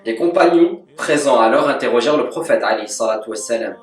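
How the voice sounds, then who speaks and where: Calm, measured man with roomy sound. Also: medium pitch. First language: French. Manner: calm, measured, devotional delivery with Arabic formulas. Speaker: religious teacher. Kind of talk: scripted voice-over lesson. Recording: echoey, from a distance.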